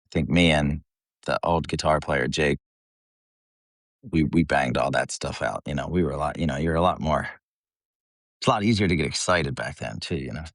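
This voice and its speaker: Low-pitched American man, blunt and informal. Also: moderate pace, pauses often, dry recording, studio quality. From eastern Pennsylvania, United States. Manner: blunt, profane, informal, slangy. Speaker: metal band vocalist, interview guest. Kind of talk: casual chat, anecdotal storytelling.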